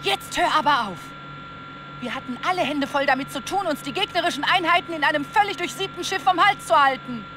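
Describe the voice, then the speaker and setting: An actress playing a brisk, easily exasperated military squad leader in a video game, with crisp commanding delivery. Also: female voice, high voice, expressive, background noise. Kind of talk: video game voice acting, German dub.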